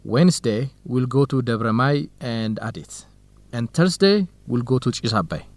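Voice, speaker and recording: A young Ethiopian researcher in a radio drama, earnest and modest. His mid-pitched voice moves up and down a lot, and the sound is dry. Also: lively intonation, measured pace, a little mellow, no echo. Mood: friendly, earnest. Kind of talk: educational radio drama acting.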